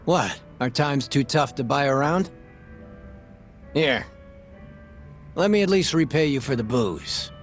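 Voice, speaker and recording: An actor playing a sly, shameless crooked cop who talks in a casual, glib way in a video game scene. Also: male voice, medium pitch, expressive, slow, long pauses, faint music behind. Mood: offhand. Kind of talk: video game cutscene acting.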